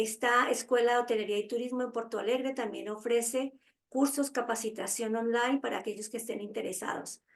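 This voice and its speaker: A woman moderating an online panel who gives a smooth, formal introduction of a speaker. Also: fairly high pitch.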